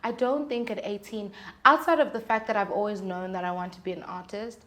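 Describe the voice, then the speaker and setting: A woman's voice: mid-range voice, brisk. A young woman with a South African accent, chatting casually and expressively as an interview guest about her own life. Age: young adult. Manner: expressive, animated storytelling. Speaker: interview guest, singer-songwriter.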